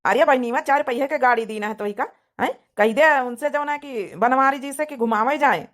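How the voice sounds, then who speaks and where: A woman's voice: sits high, normal speed, fairly bright tone. A woman voicing a talkative, doting village brother in a cartoon comedy, colloquial and insistent, with an Awadhi accent. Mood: affectionate. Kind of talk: Hindi-Awadhi cartoon family comedy dialogue.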